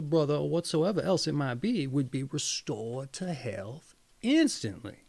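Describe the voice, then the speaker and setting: A man's voice: fairly high pitch, creaky, darkish tone. A man voicing a sly village parson in a storybook, preaching in a declamatory, list-like manner.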